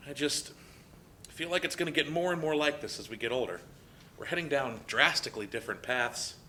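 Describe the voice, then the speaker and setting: Performer as William, lively friendly young man. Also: mid-range voice, brisk, a little hiss or hum. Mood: chatty, wistful. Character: William, friendly, awkward young male former coworker. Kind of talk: dramatic play reading.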